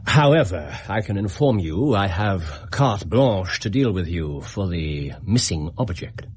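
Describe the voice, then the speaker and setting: An actor in a British radio drama playing a shrewd, commanding Victorian detective, precise and dryly ironic, with a Received Pronunciation English accent. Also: male voice, low voice, animated, measured pace, a little mellow, husky, exaggerated voice. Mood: firm, commanding.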